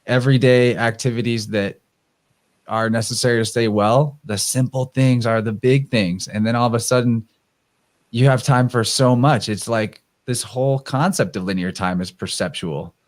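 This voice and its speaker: Guy hosting a stream, normal medium pitch, just chatting casually. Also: normal speed. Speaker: livestream host.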